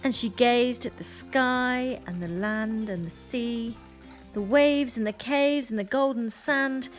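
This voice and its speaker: A woman reading a rhyming children's picture book aloud with expressive, deliberate storytelling. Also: on the high side, faint music behind.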